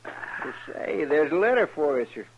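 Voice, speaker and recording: An actor playing an amiable, deferential marshal's helper in a 1950s radio western, with a homespun rural American accent and drawl. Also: male voice, on the high side, very animated, moderate pace, dull audio. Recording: noisy, crackly old radio recording.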